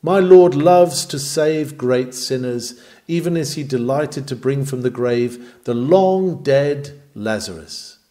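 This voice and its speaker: A man's voice: mid-range voice, expressive, slow pace, warm tone. A man reading an old sermon aloud in an earnest, emphatic, oratorical manner. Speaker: reader of historical sermons. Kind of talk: dramatic read-aloud sermon.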